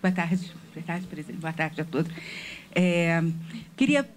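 A woman's voice: lowish voice, animated, a little slow, slight background noise. A woman from Rio de Janeiro with a Rio accent, standing in a meeting room with a handheld microphone and asking a panel an earnest question, telling a story and posing questions. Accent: Rio de Janeiro Portuguese. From Rio de Janeiro, Brazil. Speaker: audience member asking a question. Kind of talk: audience question at a public meeting.

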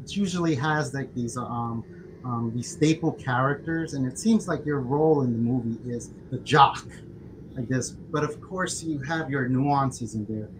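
A man's voice: mid-range voice, unhurried, noisy background. A middle-aged man interviewing an actor over a video call from his home office, enthusiastic and full of ums. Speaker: interviewer, managing editor. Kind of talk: casual interview questions. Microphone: studio mic with earbuds on video call.

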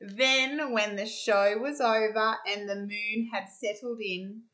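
An Australian woman in her thirties reading a picture book aloud to children in an expressive, sing-song way. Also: mid-range voice. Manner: deliberate, sing-song storytelling delivery. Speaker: primary school teacher reading storytime. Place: home kitchen, indoors.

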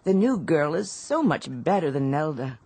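An actress in a radio drama playing a genteel, demanding wife with a languid manner. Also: female voice, deep voice, very animated, moderate pace, warm tone, breathy edge, full character voice. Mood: languid, content.

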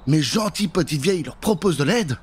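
A man in his thirties telling a children's tale in an expressive, theatrical style, close to the microphone. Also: a little high, a little fast, husky. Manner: expressive, dramatic. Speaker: storyteller. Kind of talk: theatrical scripted storytelling for children. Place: indoor recording setup. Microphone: close microphone with pop filter.

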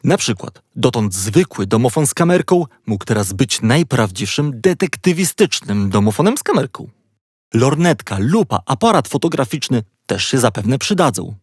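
A man narrating a children's story in an expressive, playful storytelling manner. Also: medium pitch, moderate pace, husky, dry recording, studio quality.

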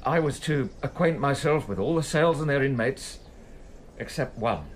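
A man's voice: medium pitch, animated, normal speed, slight background noise, lightly acted. An actor playing a conscientious, troubled prison keeper reporting respectfully to his superior in a radio drama. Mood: troubled, earnest.

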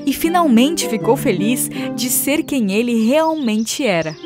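A woman's voice: fairly high pitch, expressive, measured pace. A woman narrating a scripted fable for children as a storyteller. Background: music.

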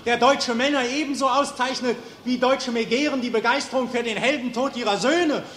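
An actor playing a defiant, bitter anti-war patient, declaiming indignantly in an old German radio play. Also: male voice, very high-pitched, fairly even intonation, a little fast, echoey room, a little hiss or hum. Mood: bitter, accusing. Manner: sharp, prophetic, indignant declaiming. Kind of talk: mid-20th-century German radio play acting.

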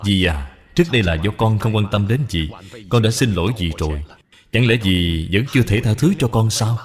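A man's voice: on the low side, fairly quick, lightly acted. A man voicing a kindly, courteous middle-aged general in a cartoon, speaking earnestly. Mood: apologetic. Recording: long reverb, background music.

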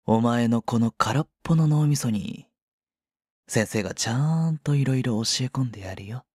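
A man's voice: medium pitch, moderate pace, a little mellow, husky, no room sound, natural voice. An actor playing a smug, condescending, possessive male schoolteacher in a romantic audio drama. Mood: stern, teasing. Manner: condescending, teasing.

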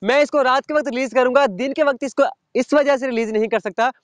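A young man hosting a wildlife channel, explaining calmly to the viewer while sitting on open sandy ground outdoors. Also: very high-pitched, no echo. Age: young adult. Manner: measured, teacherly, addresses viewer directly.

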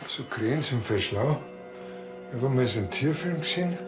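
Man acting a Bavarian cop, mid-pitched, naive. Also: lively intonation, brisk, music in the background, lightly acted. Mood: chatty, earnest. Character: naive, easygoing, self-satisfied male small-town policeman. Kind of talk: TV crime comedy acting.

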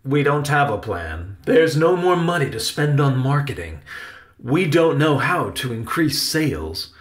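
A man's voice: mid-range voice, sing-song, measured pace, pitched above the performer's own voice. A man voicing a passionate, earnest uncle who acts on the stage, with an emphatic, declamatory delivery in a storybook reading. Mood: grave, worried.

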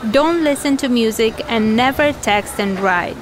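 High-voiced woman narrator. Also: lively intonation, a little slow, a crowd in the background, background noise. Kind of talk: scripted instructional narration.

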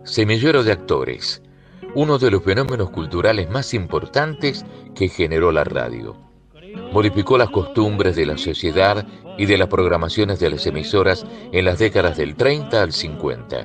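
A man reading scripted historical narration for a documentary. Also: low-pitched. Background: music.

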